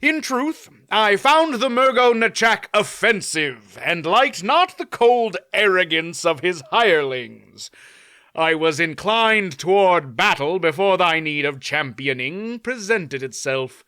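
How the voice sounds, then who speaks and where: A man voicing a chivalrous, formal-spoken knight, a little raised and bright. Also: high voice, expressive, slow, clean tone, dry recording, pitched above the performer's own voice, full character voice. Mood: earnest. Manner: old-fashioned formal speech. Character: boastful chivalrous male knight, formal, archaic.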